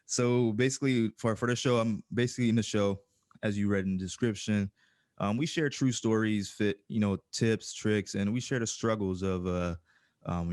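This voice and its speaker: A man's voice: fairly low pitch, darkish tone, no room sound, studio quality. A man hosting a casual podcast interview, talking in an informal, slangy way. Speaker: podcast host.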